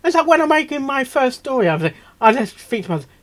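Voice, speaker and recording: Excitable, high-voiced man reacting off the cuff. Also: fast talker. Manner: excitable, rambling, stammering and repeating himself. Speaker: reaction video host. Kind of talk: casual off-the-cuff reaction commentary.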